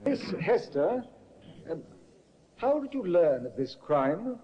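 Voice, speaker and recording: An actor playing a formal prosecutor questioning witnesses methodically in an old radio drama, heard through an echoing old broadcast. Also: male voice, high-pitched, big pitch swings, slow pace, muffled recording, exaggerated voice. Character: formal male Crown prosecutor. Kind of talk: 1950s radio acting.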